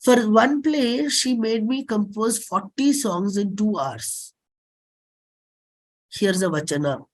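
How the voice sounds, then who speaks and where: A woman with an Indian accent performs a dramatic reading of a personal stage script, speaking expressively in short, deliberate phrases with pauses. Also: mid-range voice. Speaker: classical singer and stage performer.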